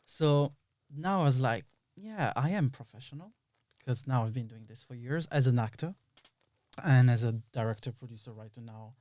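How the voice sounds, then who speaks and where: Guy with as chatting in an interview, average pitch, really dry recording, acts out little quotes. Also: normal speed, darkish tone, no echo. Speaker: interview guest, filmmaker and actor. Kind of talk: casual conversational interview.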